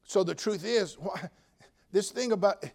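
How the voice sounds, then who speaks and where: This is an older man, in his seventies, with a noticeably high-pitched voice. He preaches like a folksy Baptist pulpit veteran. He pushes hard on key phrases, then drops into quieter, joking asides. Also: measured pace, gravelly. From United States. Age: 70s. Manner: folksy, emphatic, swinging from loud exhortation to quiet joking asides. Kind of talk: sermon.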